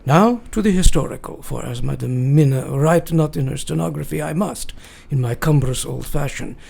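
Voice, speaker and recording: An actor playing a grave, devoted elderly foreign professor in a radio drama, speaking solemnly and deliberately in broken grammar. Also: male voice, a little high, vocal fry, darkish tone, husky, full character voice. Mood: solemn, fearful. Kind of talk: radio drama acting, dramatic journal narration.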